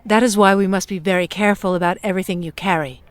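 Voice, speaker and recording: A woman acting as a prim emissary from the future in an audio play. She speaks at a middle pitch with formal, exact wording, staying composed and persuasive as she lays out terms. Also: fairly even intonation, fast, no echo, lightly acted. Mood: precise, instructive. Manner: formal, precise, businesslike, persuasive.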